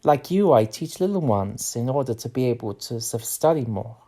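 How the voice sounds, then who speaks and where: An actor playing a courteous, bookish professor in an audio drama, speaking formal, slightly unidiomatic English. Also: male voice, medium pitch, expressive, normal speed, mellow. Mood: warm, earnest.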